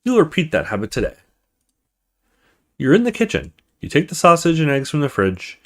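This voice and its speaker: A man's voice: a little high, wildly swooping pitch, normal speed. A man hosting a let's play, reading a horror visual novel aloud in a dramatic storytelling way with casual asides.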